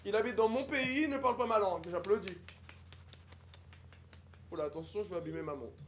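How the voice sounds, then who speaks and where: A young man doing a haughty, condescending posh snob with a high voice and stiff, affected formal diction. His speech is pompous and mocking. A soft hiss of background noise sits under it. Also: brisk, natural voice. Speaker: livestreamer on a video chat app. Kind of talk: improvised character roleplay with strangers.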